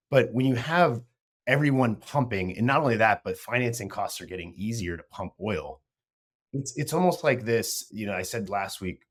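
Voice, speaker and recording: A man co-hosting a casual market discussion, animated and full of trailing restarts. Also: fairly low pitch. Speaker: podcast co-host.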